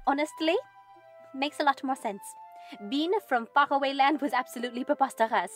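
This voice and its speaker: Woman playing a prim, high-voiced fantasy rebel. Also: a little fast, faint music behind, close to an everyday voice. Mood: dry curiosity. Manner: formal, fussy. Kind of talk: fantasy audio drama acting.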